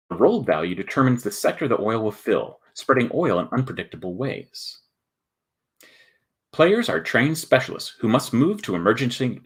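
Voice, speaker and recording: A man performing a dramatic, suspenseful trailer narration for a board game, with deliberate phrasing. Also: medium pitch, slightly rough. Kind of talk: dramatic scripted promo narration.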